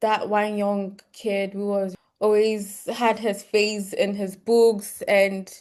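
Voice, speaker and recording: A woman's voice: mid-range voice, level tone, slow pace, darkish tone. A woman from Ghana hosting an interview, asking friendly, encouraging questions. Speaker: interview host. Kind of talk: conversational interview.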